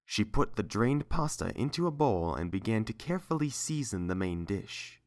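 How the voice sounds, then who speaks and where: Animated audiobook narrator with lively pitch. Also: normal speed. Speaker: narrator and reader. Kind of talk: scripted story narration, audiobook.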